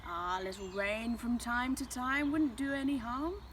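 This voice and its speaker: A wise, kindly sun from a children's storybook, played by a woman. It advises gently and reassuringly, over clear background noise. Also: fairly high pitch, moderate pace, close to an everyday voice.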